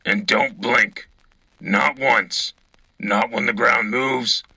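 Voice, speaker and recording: A man playing a gruff, cynical battle-hardened soldier co-hosting a sci-fi war radio drama, gravelly and raspy, terse and sardonic. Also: medium pitch, steady tone, takes it slowly, sharp tone, no room sound, heavily acted. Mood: grim, weary. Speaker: radio co-host reporting from the front.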